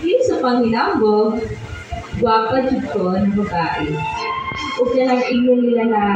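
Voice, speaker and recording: Woman acting a high-voiced, gushing young Filipina sweetheart. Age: young adult. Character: excitable 24-year-old Filipina sweetheart, proud and trusting. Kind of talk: radio drama acting and letter narration. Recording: very echoey, distant, dull, with loud music.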